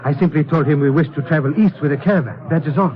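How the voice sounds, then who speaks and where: An actor playing a courteous, watchful Middle Eastern guide in a radio drama, with formal, precise phrasing. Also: male voice, a little high, fast talker, close to an everyday voice. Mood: explaining. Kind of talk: old-time radio drama acting. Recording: tinny, band-limited old radio recording.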